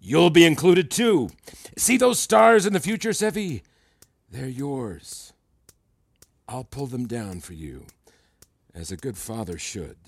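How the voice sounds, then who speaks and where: A man playing a smug older mentor in an audio drama, theatrical and effusive, handing out flattery with condescension and pointed pauses. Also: medium pitch, gravelly, breathy edge, no echo, exaggerated voice. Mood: playful, grandiose. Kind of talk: fiction podcast audio drama acting.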